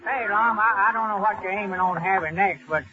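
An actor playing a naive, contrary, chatty old country fellow with a rural Southern US accent in a 1930s radio comedy. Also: male voice, sits high, fairly quick, a crowd in the background, close to an everyday voice. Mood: urgent, chatty. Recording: muffled 1930s radio, audience noise behind.

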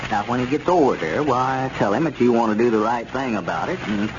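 An actor playing a sensible, cautious small-town friend in a 1930s radio comedy serial, reasoning in a plain-spoken, folksy way. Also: male voice, medium pitch, lively intonation, brisk, natural voice. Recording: noisy, muffled 1930s radio recording.

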